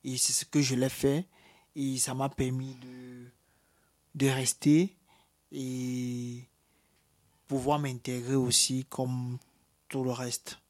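A man's voice: mid-range voice, flat delivery, slow, long pauses, darkish tone. A man from Cameroon with a Cameroonian French accent, giving a personal testimony in an interview, hesitant with many fillers and restarts. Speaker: interviewee, asylum seeker.

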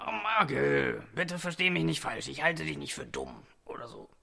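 A man playing a sleepy, grumbling, wise talking stone in a children's radio play, slow and hesitant with a rough, gravelly voice. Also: a little high, very animated, pushed and bright, full character voice. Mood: weary, patient.

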